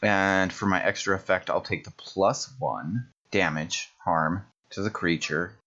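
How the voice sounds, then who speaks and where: Guy on the low side playing a tabletop rpg, casual table talk, kinda muffled lofi mic. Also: measured pace, bright, forceful tone. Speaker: player in a tabletop roleplaying game.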